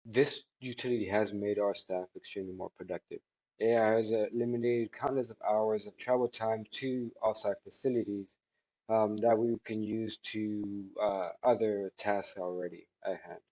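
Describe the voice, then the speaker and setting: Guy in his 30s doing a product review, lowish mellow voice, kinda halting and measured. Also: steady tone, rough recording. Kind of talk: spoken testimonial answering questions. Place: small indoor office room by a large window.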